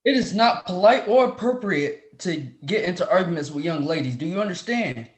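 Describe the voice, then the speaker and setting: A man's voice: high voice, animated, fairly quick, rough recording. A man playing a stern, proud professor who speaks formally and reprovingly in a dramatic script reading. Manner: formal, reprimanding. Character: stern, proud male professor, demanding authority figure.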